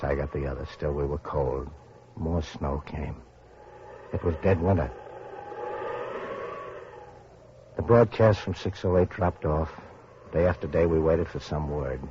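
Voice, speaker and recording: An actor playing a weary, earnest survivor of atomic war, narrating haltingly in an old-time radio drama style. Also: male voice, a bass voice, steady tone, a little mellow, a little hiss or hum. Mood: somber. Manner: halting, hesitant, repeating words. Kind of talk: 1940s-50s radio drama acting and narration. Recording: dull old radio recording, music behind.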